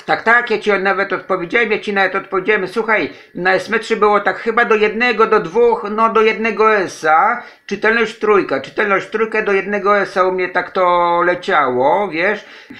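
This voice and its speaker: Guy on ham radio, high sharp voice, says everything twice, chatty operator talk. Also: moderate pace. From Poland. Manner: repeats phrases. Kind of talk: casual amateur radio contact. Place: indoors, radio desk in a flat.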